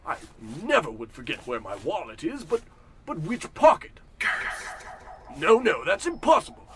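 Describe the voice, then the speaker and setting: A man's voice: a little high, huge pitch swings, moderate pace, a crowd in the background. A man acting a pompous, indignant and forgetful wealthy businessman in a blustering, theatrical old-time radio comedy style.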